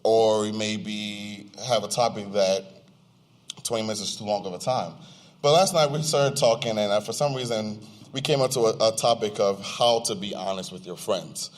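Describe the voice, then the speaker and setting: A man's voice: fairly low pitch, moderate pace. A young American man co-hosting a podcast, chatting informally and with slang in a slightly distorted live event recording. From United States, of Nigerian descent. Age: young adult. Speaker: podcast co-host. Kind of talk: casual chat to a live audience. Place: live event venue with audience.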